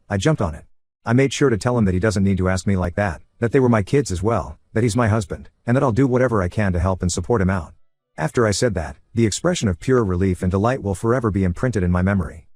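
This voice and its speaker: A man reading a first-person online story aloud as scripted narration, in a quick, even storytelling manner. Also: lowish voice, no room sound. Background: faint background music.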